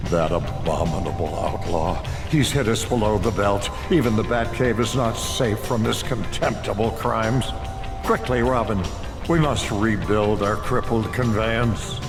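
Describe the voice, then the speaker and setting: An actor playing an earnest, pompous superhero in a campy sixties style, declaiming ornate lines for a video game. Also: male voice, fairly low pitch, animated, a little slow, music in the background. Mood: indignant. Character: earnest, pompous adult male campy superhero crime fighter. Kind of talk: campy 1960s superhero acting.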